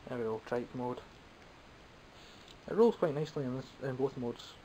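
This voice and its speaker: A young man with a Scottish accent talks off the cuff from behind a home camera, dry and self-mocking as he rambles. His voice sits at an ordinary middle pitch and sounds a little phlegmy. A faint hiss runs under the recording. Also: normal speed, mellow, rough recording. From Scotland. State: phlegmy, coughing. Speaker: toy collector vlogger. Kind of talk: casual unscripted toy haul chat. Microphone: camera mic, speaking from behind the camera.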